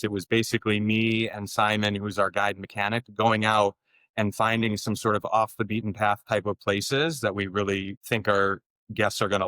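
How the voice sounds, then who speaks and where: A young man with a lowish voice talks through his answers in a relaxed, conversational way. He often restarts a phrase while he gathers his thoughts. The sound is close and dry. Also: dry recording. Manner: easygoing, thinks aloud with many restarts. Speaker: tour business co-founder.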